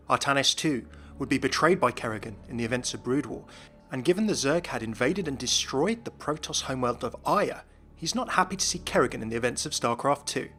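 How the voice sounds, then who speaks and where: A man's voice: medium pitch, fairly bright tone. A man giving explanatory narration about video game lore. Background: background music.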